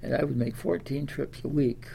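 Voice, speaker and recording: Old guy, 90, from iowa, gravelly and mellow, rambling oral history talk with lots of uhs. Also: mid-range voice, normal speed, a little hiss or hum. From Des Moines, Iowa. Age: 90s. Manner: rambling, many uh fillers and restarts. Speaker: interviewee.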